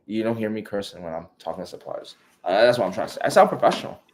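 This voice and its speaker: Blunt New York man, lowish voice. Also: lively intonation, rapid-fire. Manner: blunt, emphatic, slangy with "yo" and "bro". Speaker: co-host of a live coaching Q&A. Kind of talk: casual live-stream chat.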